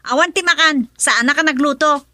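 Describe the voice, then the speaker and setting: A woman playing a sharp-tongued scolding wife. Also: sits high, fairly quick. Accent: Ilocano. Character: frustrated young wife scolding her drinking husband. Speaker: young wife. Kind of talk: radio drama acting.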